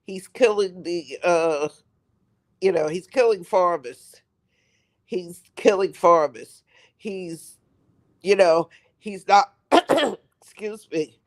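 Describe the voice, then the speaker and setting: A man with a raspy voice from a head cold, rambling on a live stream and repeating himself for emphasis. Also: sits high, takes it slowly, long pauses. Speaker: livestream host. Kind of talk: casual live-stream commentary.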